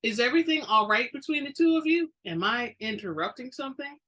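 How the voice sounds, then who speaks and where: An earnest young man in a storybook reading, acted by a woman. He is sweet and excitable, with a bright, forceful sound. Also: a little high, fairly quick, pitched above the performer's own voice. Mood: friendly concern. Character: eager, sweet young great-grandson, adult man.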